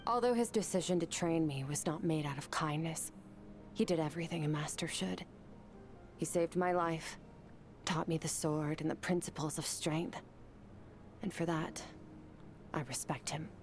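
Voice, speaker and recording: A woman voicing a stern, composed young female sword master in a video game, speaking in a calm, measured way with a breathy, near-whispered edge. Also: low-pitched, a little hiss or hum, lightly acted. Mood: grave. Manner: calm, measured, quietly grave. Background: game background music.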